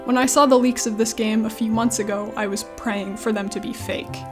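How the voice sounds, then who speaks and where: High-voiced woman giving video commentary. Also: fairly even intonation, fairly quick, over music. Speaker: video essayist, YouTube host.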